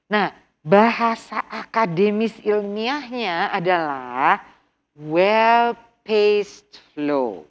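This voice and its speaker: A woman's voice: mid-range voice, lively intonation, extremely slow, dull audio. A middle-aged woman with an Indonesian accent teaching an online TOEFL workshop, switching between English and Indonesian, quizzing her class and repeating key words. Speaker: TOEFL instructor.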